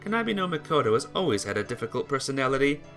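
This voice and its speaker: A man giving an expressive, theatrical reading of a visual novel as narrator, occasionally stumbling over words. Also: mid-range voice, very fast, faint music behind.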